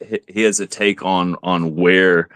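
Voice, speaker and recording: A man calling in to a live audio chat, speaking haltingly with many restarts. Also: sits low, fairly even intonation, a bit bright, clean tone, no echo. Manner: halting, full of restarts and fillers. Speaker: caller.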